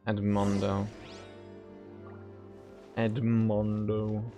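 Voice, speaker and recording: A man's voice: fairly low pitch, completely flat delivery, very slow, warm tone. A man giving casual gameplay commentary, musing in hesitant bits. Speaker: game streamer. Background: game music.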